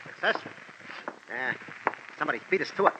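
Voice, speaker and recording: An actor in an old radio drama playing an insolent young henchman with slangy, rough speech. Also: a little high, lively intonation, a little fast, dull audio, close to an everyday voice. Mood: surly. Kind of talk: old-time radio acting. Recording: noisy old radio recording.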